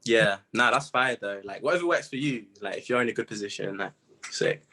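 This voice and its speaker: A man's voice: mid-range voice, brisk, sharp tone. A young man chatting casually in an interview, using informal, slangy speech as a music artist. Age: young adult. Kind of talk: casual chat.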